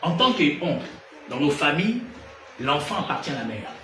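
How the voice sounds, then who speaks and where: A man from Gabon with a Gabonese French accent, preaching a sermon. He asks rhetorical questions and swings between loud and hushed delivery. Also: fairly high pitch, very animated, moderate pace. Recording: echoey room, distant mic, noisy.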